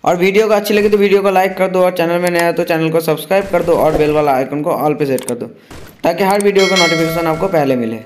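A man's voice: a little high, quick pace, a little hiss or hum. A man hosting a gaming channel, talking through a phone-app tutorial step by step. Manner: plain step-by-step instructing.

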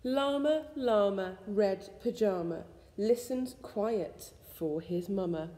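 Slow, echoey young woman reading aloud. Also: mid-range voice, mellow. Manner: deliberate, sing-song storytelling. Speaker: school staff member reading a bedtime story. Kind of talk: expressive read-aloud of a rhyming children's picture book. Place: school room with suspended ceiling, indoors. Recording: echoey indoor room with long reverb.

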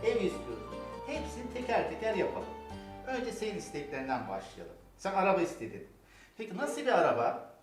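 A man's voice: high-pitched, very animated, fairly quick. An older man, a grandfather guiding children through crafts step by step with endearments and simple questions. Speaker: grandfather hosting a children's toy-making show. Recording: roomy echo with music. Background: music.

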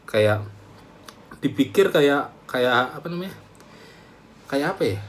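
Young man, mid pitch, animated food reviewer to camera. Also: slow pace, slight background noise. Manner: chatty, animated, calls himself by name. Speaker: food vlogger. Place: small room indoors, plain wall.

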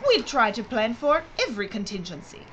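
An actress playing a brisk, secretive mining executive in an audio drama, speaking in a precise, formal way. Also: female voice, on the high side, sing-song, a bit bright. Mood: brisk, explanatory. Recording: clear background noise and ambience.

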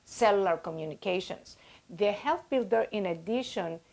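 Animated woman in her sixties explaining. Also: mid-range voice, normal speed, creaky. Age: 60s. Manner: animated, gesturing, uses everyday comparisons. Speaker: guest expert. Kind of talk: explanatory interview talk. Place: small indoor office. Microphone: lapel mic.

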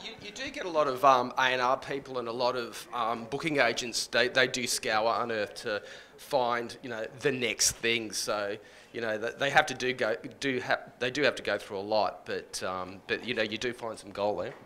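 Man, bright forceful tone, medium pitch, hesitant casual panel talk. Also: normal speed. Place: indoor panel event.

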